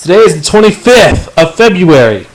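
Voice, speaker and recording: A man's voice: sits high, very animated, normal speed. A man in his thirties hosting a casual podcast with friends, loose and profane, laughing between jokes. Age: 30s. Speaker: podcast host. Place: apartment room. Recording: echoey room with faint noise.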